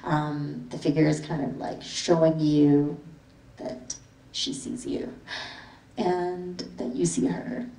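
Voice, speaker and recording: A woman artist from the Philippines talking through slides of her work to a gallery audience, relaxed and meandering with many ums and likes. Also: low voice, slow, vocal fry. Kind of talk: casual gallery talk with slides. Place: gallery event space with audience. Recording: echoey hall from far off.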